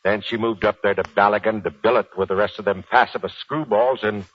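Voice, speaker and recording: An actor playing a gruff, proud, aging American Marine sergeant with folksy, ungrammatical slang in a 1940s radio drama. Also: male voice, on the low side, fairly even intonation, fairly quick, no room sound, lightly acted. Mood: deferential, chatty. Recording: dull 1940s radio recording.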